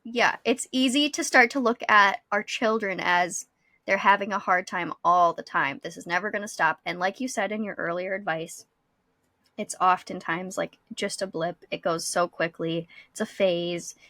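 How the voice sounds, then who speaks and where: Bright-voiced woman podcaster, reflective and explanatory. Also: on the low side, moderate pace, no echo. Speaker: podcast co-host; school psychologist. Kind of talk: casual reflective podcast chat.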